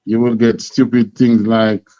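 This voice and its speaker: A man's voice: fairly low pitch, level tone, measured pace, mellow, no echo. A man with a Jamaican accent teaching a scripture class, emphatic and full of rhetorical questions. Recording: dull lo-fi sound.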